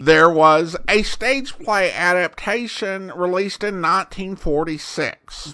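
A man's voice: on the high side, pushed and bright. A man hosting an old-time radio podcast, presenting in a conversational way. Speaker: podcast host introducing old radio programs.

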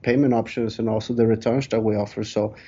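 A man's voice: on the low side, little pitch movement, quick pace, dark tone, breathy edge. A man in his thirties from Sweden with a Swedish accent, answering interview questions conversationally as a guest. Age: 30s. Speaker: interview guest, real estate developer. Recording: dull, lo-fi call audio.

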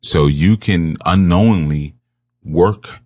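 A man giving a spiritual teaching talk, speaking slowly and emphatically and repeating his key phrases. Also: low-pitched, darkish tone, no room sound.

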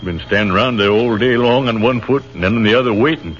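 An actor playing a friendly, modest American infantry captain with an American accent, speaking in an easygoing, folksy way, in a 1940s radio drama. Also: male voice, fairly low pitch, quick pace, close to an everyday voice. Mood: polite. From Chicago, USA. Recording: dull old radio broadcast recording with faint hiss.

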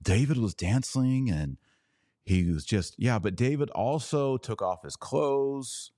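A man's voice: mid-range voice, animated, takes it slowly, mellow, no room sound, clean studio sound. A man hosting a livestreamed commentary podcast, talking emphatically with sarcastic, mocking asides. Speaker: podcast host.

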